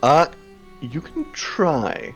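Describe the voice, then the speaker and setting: A man's voice: on the high side, very animated, drawn-out words, soft background music. A man running a tabletop game as game master, hesitant and full of restarts.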